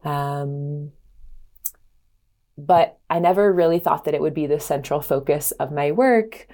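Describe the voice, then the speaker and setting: A woman, a sustainability consultant, explaining her career thoughtfully with frequent pauses. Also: sits low, warm tone. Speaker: sustainability consultant, studio co-lead. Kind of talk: casual interview answers.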